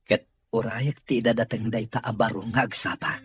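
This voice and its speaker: A man playing a grateful, earnest boss recovering in therapy, in an Ilocano radio drama, with a Filipino accent and a husky voice. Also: lowish voice, steady tone, a little fast, music in the background, close to an everyday voice. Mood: grateful, subdued.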